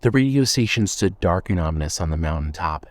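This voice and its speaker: A man reads scripted fiction as narrator. His voice sits on the low side, with a measured, suspenseful delivery. The recording is dry and close. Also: lively intonation, dry recording.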